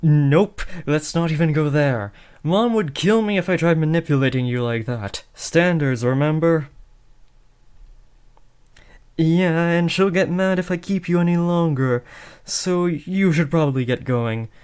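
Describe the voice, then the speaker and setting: A man's voice: a little high, measured pace, darkish tone, clear, resonant tone, lightly acted. A young man acting an awkward, earnest teenage boy who is trying to be a supervillain, hesitant and often correcting himself, in a comedic audio roleplay. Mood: awkwardly reassuring.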